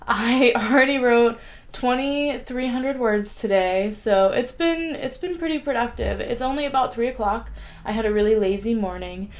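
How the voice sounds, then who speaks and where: Young adult woman, casual at-home vlogger, chatty and rambling, a little sick and sniffly. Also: medium pitch. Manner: chatty, rambling, frequent "like" fillers. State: sniffly with a sore throat. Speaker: writing vlogger. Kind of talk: casual vlog chat. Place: at home, indoors.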